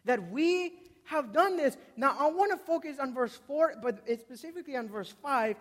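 Young guy preaching, really high voice, big echoey hall, emphatic, repeats key words and asks the congregation questions. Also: expressive, normal speed. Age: 20s. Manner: emphatic, repeating key words, swinging from loud stresses to hushed asides. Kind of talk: sermon with questions put to the congregation. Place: large indoor hall before a congregation. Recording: reverberant hall, long echo.

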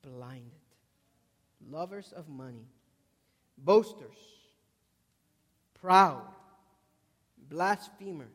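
A man's voice: a little high, expressive, drawn-out words, long pauses. A man in his twenties preaching a sermon emphatically to a congregation, repeating key words and putting questions to his listeners. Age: 20s. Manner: emphatic, repeating key words, swinging from loud stresses to hushed asides. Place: large indoor hall before a congregation. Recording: reverberant hall, long echo.

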